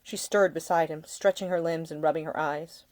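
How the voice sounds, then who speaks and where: A woman reading a novel aloud as its author, in expressive scripted narration. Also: low-pitched, moderate pace. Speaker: author reading own audiobook.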